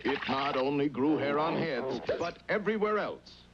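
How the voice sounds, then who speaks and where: A man's voice: on the high side, lively intonation, normal speed, lightly acted. A man giving mock-dramatic scripted narration for a cartoon. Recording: muffled, noisy old cartoon soundtrack.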